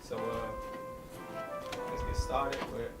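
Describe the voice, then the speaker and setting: A man's voice: mid-range voice, little pitch movement, very slow, distant mic. A man asking casual questions as an interviewer, recorded off-mic. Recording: off-mic voice under loud music. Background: loud hip hop music.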